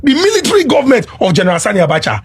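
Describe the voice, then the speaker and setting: A Nigerian man from Lagos on a talk panel, explaining his point deliberately and step by step. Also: very high-pitched, huge pitch swings, slightly rough. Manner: deliberate, explanatory, repeats to hold the floor. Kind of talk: measured debate.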